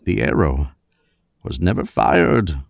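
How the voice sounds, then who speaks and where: An actor playing a shrewd older professor, speaking with pompous, deliberate emphasis in 1940s radio mystery style. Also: male voice, low voice, lively intonation, gravelly, no room sound, clean studio sound, heavily acted. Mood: measured, superior. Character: shrewd, theatrical older professor, father unmasking a killer.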